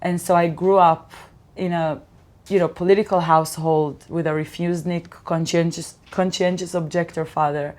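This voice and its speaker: A woman from Israel, a guest comedian, telling lively stories in a casual interview and acting out quoted lines. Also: fairly low pitch, a little fast. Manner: animated storyteller, acts out quotes.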